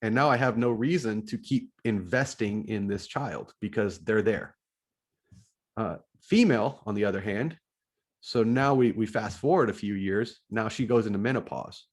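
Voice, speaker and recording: A man's voice: fairly low pitch, normal speed. A middle-aged man hosting a podcast, explaining his ideas in a casual monologue and often restarting phrases as he checks his notes. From United States. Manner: thinks aloud, often restarting phrases while reading from notes. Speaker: podcast host.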